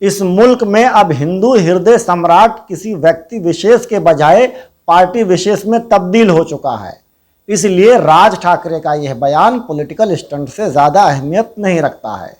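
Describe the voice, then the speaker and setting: A man's voice: high-pitched, lively intonation, moderate pace, darkish tone. A man delivering scripted political commentary in a formal, rhetorical, pointed manner. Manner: rhetorical, pointed questioning, formal Urdu-laced wording. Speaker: political commentary channel host.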